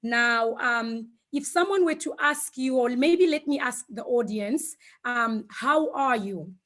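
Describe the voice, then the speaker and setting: A woman, a Shona speaker, giving an informal online presentation in a reflective, hesitant way, often pausing mid-thought. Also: fairly high pitch, fairly bright tone. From Zimbabwe. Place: online video call.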